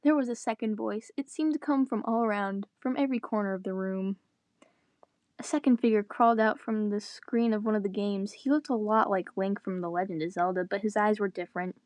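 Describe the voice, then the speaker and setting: A woman's voice: medium pitch, steady tone, a little fast, a little mellow, no room sound. A woman reading a story aloud dramatically, with joking asides in her own voice. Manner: breaks character to groan and joke. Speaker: reader of fan fiction.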